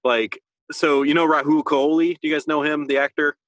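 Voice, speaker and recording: A man's voice: fairly high pitch, little pitch movement, fairly quick, fairly bright tone, no echo. A man chatting informally as a podcast co-host, swearing freely as he talks. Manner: informal, profane. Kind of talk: casual chat.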